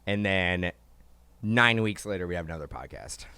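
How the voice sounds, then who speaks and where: Rambling low-voiced male co-host. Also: animated, normal speed, sharp tone, smooth, dry recording. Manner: rambling, restarts often, lots of "like" and "um". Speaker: podcast co-host. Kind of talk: casual hobby podcast chat. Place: podcast studio.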